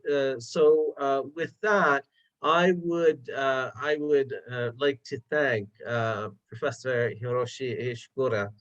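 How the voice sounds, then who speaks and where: A man's voice: mid-range voice, fairly bright tone, muffled recording. A man who is a linguist, moderating a webinar over a video call and speaking hesitantly with many fillers.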